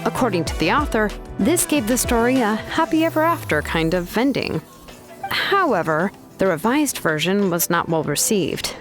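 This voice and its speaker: A woman's voice: mid-range voice, very animated, normal speed, soft background music, natural voice. An actress voicing a laid-back, practical young woman in an anime video game, explaining things casually with small hesitations. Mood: matter-of-fact.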